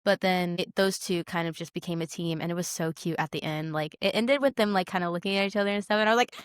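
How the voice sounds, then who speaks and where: A young woman co-hosting a podcast in casual chat, excitable and gushing, with dramatic emphasis. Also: fairly low pitch, fast talker, clear, resonant tone, no room sound, studio quality. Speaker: podcast co-host.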